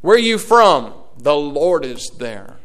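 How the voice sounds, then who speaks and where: A man's voice: a little high, sing-song, slow pace. Impassioned preacher man. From Indiana, USA. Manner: impassioned preaching that swells and drops in volume. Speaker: pastor. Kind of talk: sermon.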